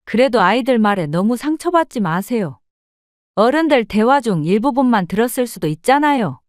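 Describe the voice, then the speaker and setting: A woman voicing a kindly neighbor woman who speaks with warm, expressive sympathy. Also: a little high, moderate pace, no echo, studio quality. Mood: sympathetic.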